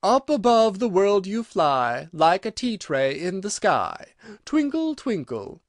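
A man's voice: sits high, big pitch swings, slow, no room sound, clean studio sound, pitched above the performer's own voice, full character voice. A man voicing an eccentric, argumentative male hatter with a pompous, emphatic delivery in a storybook. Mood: mournful. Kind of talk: storybook reading.

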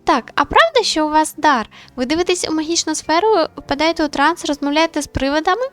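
Naive, excitable young female neighbour for a visual novel game, very high voice played by a woman. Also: quick pace, faint music behind. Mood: curious, impressed. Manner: excitable, gushing.

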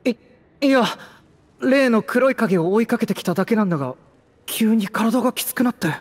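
A woman voicing a hot-headed, loyal young male mercenary in an anime game, with impassioned, stubborn, rough speech. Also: fairly high pitch, expressive, moderate pace. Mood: weakened, flustered. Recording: roomy reverb, faint music behind.

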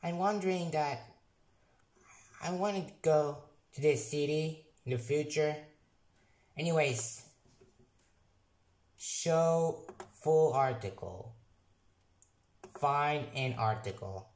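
A young man who is not a native English speaker, casually reviewing software on his computer and reading text aloud haltingly, restarting and correcting words. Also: on the high side, roomy sound. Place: room at a computer.

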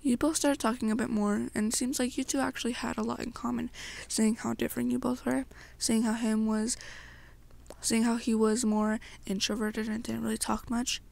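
Young woman storyteller with a creaky, high voice. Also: level tone, moderate pace, darkish tone. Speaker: ASMR roleplay storyteller. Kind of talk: second-person ASMR fan-fiction storytelling.

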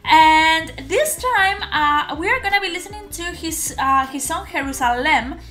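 A young woman from Spain with a Spanish accent, chatting casually to camera as a reaction host in an animated, halting, giggly way. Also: very high voice. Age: young adult. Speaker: host of a music reaction channel. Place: small room at home, indoors.

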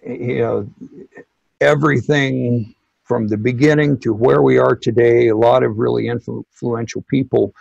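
Male, middle-aged, technical expert guest. Hesitant, informal, husky delivery; slightly dull recording. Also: mid-range voice, fairly even intonation, darkish tone, no echo. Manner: halting, hesitant delivery with many pauses. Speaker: loudspeaker company technical director, interview guest. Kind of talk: informal interview explanation.

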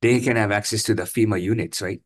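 A man chairing a legislative committee hearing, asking brisk, pointed questions. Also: on the low side, steady tone, breathy edge. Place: hearing room.

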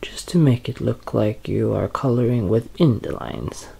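A soft-spoken young man in an ASMR roleplay as a quirky, deadpan doctor, hesitant and halting, sometimes drifting toward a whisper. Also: medium pitch, vocal fry, husky. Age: young adult. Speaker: ASMR performer playing a bumbling doctor. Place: small curtained room at home, indoors.

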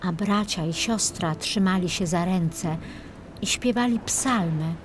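Low-voiced woman narrating a fairy tale. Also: measured pace, slight background noise. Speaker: main narrator of a children's audiobook. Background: music.

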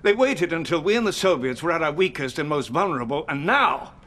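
A elderly British field marshal in a video game. His fairly high voice is grave and dramatic, with military formality. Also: animated, a little fast, a bit bright. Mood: grave, alarmed. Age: 60s.

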